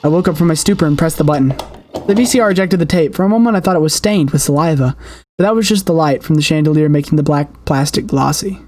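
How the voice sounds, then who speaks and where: A woman hosting a gaming video, reading a horror game's story aloud in an expressive storytelling manner with her own asides. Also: sits low, quick pace. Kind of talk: dramatic read-aloud narration of a horror game with asides. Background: game soundtrack music.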